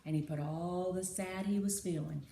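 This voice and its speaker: A woman's voice: lowish voice, fairly even intonation, normal speed. Woman reading a storybook aloud, echoey room. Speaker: read-aloud narrator. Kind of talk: expressive children's storybook narration. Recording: echoey room with clear background noise.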